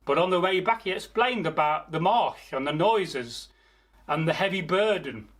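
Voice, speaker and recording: A man telling a folktale aloud as a storyteller, theatrical, pausing mid-phrase and speaking directly to his listeners. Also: high-pitched, lively intonation, fairly bright tone. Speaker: storyteller, channel host. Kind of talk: oral folktale storytelling, improvised.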